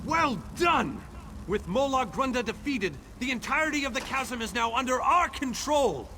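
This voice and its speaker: An actor voicing a fastidious, businesslike army commander in a video game, speaking in a formal, articulate manner. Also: male voice, extremely high pitch, expressive, sharp tone, a little hiss or hum, heavily acted. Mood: pleased, brisk. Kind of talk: scripted video game dialogue.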